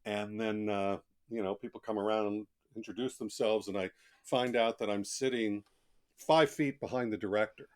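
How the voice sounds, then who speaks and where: Low-voiced man in conversational interview. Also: steady tone, moderate pace, a little mellow. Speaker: author, podcast guest. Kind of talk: conversational interview, reflective anecdotes.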